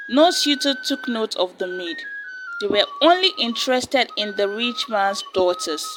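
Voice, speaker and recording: A woman narrating a folktale in a steady, plain storytelling manner. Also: on the high side, low-quality recording. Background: soft music.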